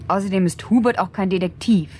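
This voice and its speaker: A woman's voice: medium pitch, fast, darkish tone, husky, close to an everyday voice. An actress playing a sceptical, teasing woman with a dry, sardonic manner, in a naturalistic German radio play. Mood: teasing, scornful. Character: sceptical, teasing woman heckling a man's story.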